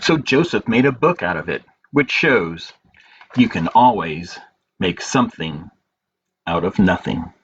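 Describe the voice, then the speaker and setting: A man hosting a storytime as a librarian, reading picture books aloud in measured phrases with pauses and informal asides. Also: low voice, lively intonation, a bit bright. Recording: dull lo-fi audio with clear background noise.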